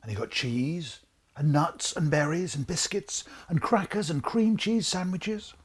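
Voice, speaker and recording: Husky man reading a storybook, slow and dramatic. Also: fairly high pitch. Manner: theatrical, suspenseful, drops to whispers. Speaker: author reading own book.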